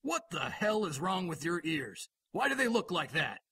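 A blunt, official police lieutenant in a radio drama. His voice is a bit high, forceful and husky, with a firm, dry wit. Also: big pitch swings, moderate pace, dry recording, full character voice. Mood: official, wary.

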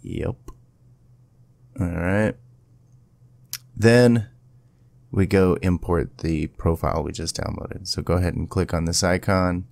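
Guy doing a casual tutorial voice-over, low voice with some fry, dry close mic. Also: steady tone, slow pace, long pauses, a little mellow, dry recording. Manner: informal, instructional. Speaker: video tutorial presenter. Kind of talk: casual step-by-step software tutorial.

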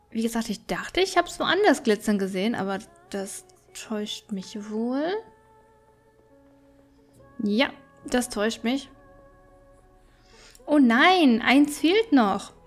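A high-pitched female let's player talks casually through her game. She thinks aloud in a chatty way, with music playing behind her. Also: expressive, a little slow, pauses often. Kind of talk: casual gameplay commentary.

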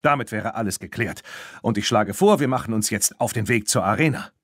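A man voicing a smooth French horse dealer in an audiobook, courteous and ceremonious. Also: on the low side, a little fast, no echo, clean studio sound, close to an everyday voice. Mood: cheerful. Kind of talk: audiobook character reading.

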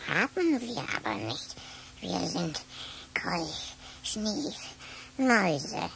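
A woman's voice: on the low side, sing-song, drawn-out words, vocal fry, a bit bright, a little hiss or hum, exaggerated voice, low-quality recording. A woman playing a small, plucky, comically put-upon mouse in a children's audio play. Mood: exhausted, grumbling.

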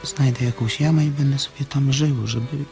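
Actor as a creaky-voiced, childlike farm labourer. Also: male voice, medium pitch, steady tone, moderate pace, darkish tone, soft background music, natural voice. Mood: guilty, plaintive. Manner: childlike, plaintive. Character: big, childlike, simple-minded, fearful farm labourer. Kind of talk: radio drama acting.

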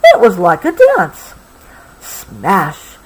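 A woman reads a children's picture book aloud. Her pitch moves in animated swings while the pace stays leisurely. She is patient and stops to clarify words as a parent would. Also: husky, rough recording. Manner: patient, explanatory, pausing to clarify. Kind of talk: expressive storybook read-aloud with explanatory asides.

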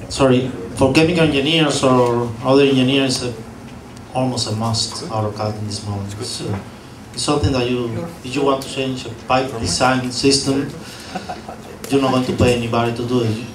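A man's voice: medium pitch, steady tone, normal speed. A man in his thirties on a panel with a handheld mic, giving practical advice with hesitant fillers. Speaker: panelist, engineer. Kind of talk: panel discussion. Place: classroom panel table. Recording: distant echoey room with noise.

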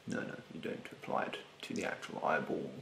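A man in his twenties doing an ASMR roleplay as a rude beautician, speaking softly and breathily, often near a whisper, in a haughty, condescending way. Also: a little fast, echoey room, slight background noise. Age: 20s. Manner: haughty, condescending, politely insulting. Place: small bedroom, indoors.

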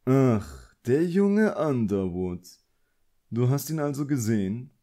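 A man's voice: mid-range voice, very animated, slow, dark tone, voice pushed up. A man voicing an elegant, condescending villain sorcerer in an audiobook, suave and politely commanding. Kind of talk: audiobook character acting.

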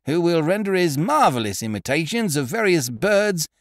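A man voicing a nervous, pompous male theatre announcer who calls out loudly and drops his H's. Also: big pitch swings, no echo, clean studio sound. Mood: flustered announcing. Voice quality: loud, high and drawn-out, dropping his H's.

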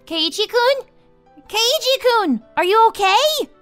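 Anime teenage girl: extremely high, childlike, slow and gentle, sweetly concerned, music underneath. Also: animated, heavily acted. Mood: worried. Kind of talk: fan dub of a visual novel.

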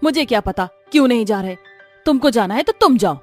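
A woman's voice: fairly high pitch, lively intonation, fairly quick, soft background music, close to an everyday voice. A woman voicing a brusque, money-minded village toilet attendant, curt and dismissive, in a cartoon story. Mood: curt, irritated. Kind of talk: Hindi cartoon horror-comedy voice acting.

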